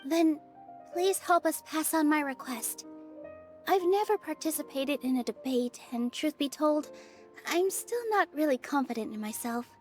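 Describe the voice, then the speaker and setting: A woman's voice: high voice, lively intonation, unhurried, darkish tone, soft background music. A woman voicing a young, sweet dancer who speaks politely, earnestly and modestly. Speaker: theater dancer. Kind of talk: game voice acting.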